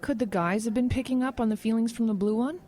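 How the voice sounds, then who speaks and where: A woman playing a curious, supportive friend and coworker who gently questions, in an audio drama. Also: on the high side, fast, natural voice. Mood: inquisitive.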